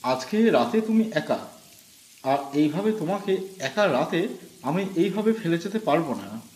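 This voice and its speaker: A man voicing a mysterious, insistent woman who turns up on a stormy night and speaks calmly and persistently. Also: fairly high pitch, measured pace. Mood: eerie insistence. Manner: calm, unsettlingly persistent. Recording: roomy with faint music.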